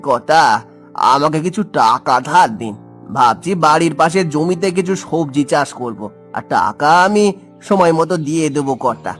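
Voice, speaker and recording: A man voicing a poor village farmer and father in a folk-tale cartoon, gentle and humble. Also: a little high, lively intonation, moderate pace, soft background music. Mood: humble, pleading. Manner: affectionate, humble.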